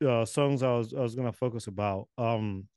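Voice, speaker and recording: A man's voice: medium pitch, brisk, a little mellow, clean tone, dry recording, studio quality. A man hosting a podcast interview over a video call, rambling and correcting himself with light chuckles. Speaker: podcast host. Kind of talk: casual interview chat.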